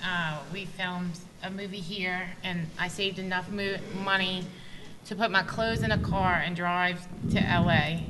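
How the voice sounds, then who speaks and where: A woman's voice: on the low side, fairly even intonation, unhurried, noisy background. A woman in her thirties on a university panel, speaking in an earnest, direct way on a table microphone. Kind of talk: casual panel talk. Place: university meeting room.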